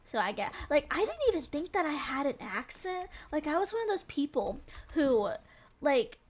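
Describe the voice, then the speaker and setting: A young woman with a Southern American accent and a high voice, streaming a game and chatting with her viewers. She giggles a lot and keeps the talk playful and loose. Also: sing-song, normal speed, a little hiss or hum. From Southern United States. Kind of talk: casual chat while gaming.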